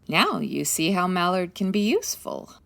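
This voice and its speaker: An actress playing a brisk, confident female private detective in a comic 1940s-style radio drama, commanding and take-charge. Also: lowish voice, sing-song, vocal fry, fairly bright tone, full character voice. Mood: confident scheming.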